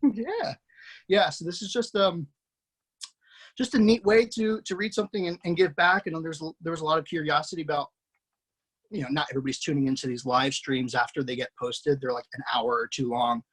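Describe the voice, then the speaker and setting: A man hosting and narrating a screenplay table read, relaxed and chatty, with many fillers. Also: fairly high pitch, fairly quick, husky. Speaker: writer and host.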